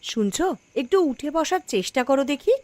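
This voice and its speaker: A woman playing a gentle, devoted young village wife in a cartoon, speaking in a tender, coaxing way. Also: high-pitched, animated, normal speed, no room sound.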